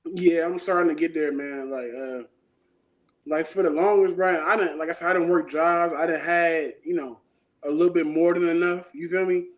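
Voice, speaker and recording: Brief: man in his thirties, interview guest, relaxed and slangy, highish voice, muffled lo-fi sound. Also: normal speed. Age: 30s. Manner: laid-back, rambling, slangy. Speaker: guest artist. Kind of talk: casual interview talk. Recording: dull lo-fi sound.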